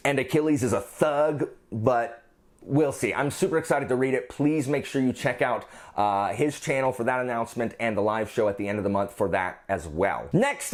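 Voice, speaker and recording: Higher-pitched man gushing about books. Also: darkish tone. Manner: gushing, emphatic, repeats phrases for emphasis. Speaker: book reviewer and channel host. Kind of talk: casual chatty book vlog.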